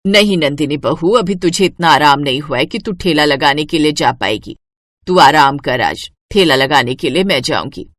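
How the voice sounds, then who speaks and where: Woman acting elderly mother-in-law, shift down to a low pitch, caring, stubborn, anxious, cartoon story, dry sound. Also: expressive, moderate pace, fairly bright tone, no echo. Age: older.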